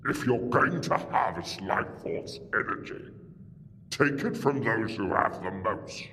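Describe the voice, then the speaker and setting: A haughty, horned alien war lord from a sci-fi audio drama, draws out his threats with mocking menace. His pitch swings widely, and his voice rings through a long cavernous reverb. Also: expressive, sharp tone, a little hiss or hum. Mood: menacing, taunting. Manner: menacing, mocking, drawn-out delivery.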